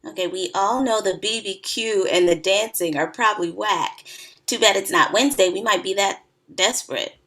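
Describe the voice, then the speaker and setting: A woman's voice: mid-range voice, moderate pace, fairly bright tone. A woman playing a wry, joking wedding friend in a naturalistic comedy table read.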